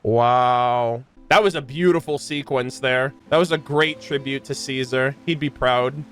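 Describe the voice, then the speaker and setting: A woman streamer giving casual, excited gameplay reactions in a slangy, teasing, expressive way. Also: extremely low pitch, measured pace, soft background music. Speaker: gaming streamer.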